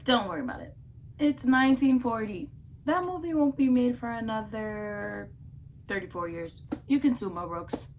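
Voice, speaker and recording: A woman's voice: a little high, expressive, moderate pace, music in the background. A woman playing a teasing, amused character in a 1940s radio play.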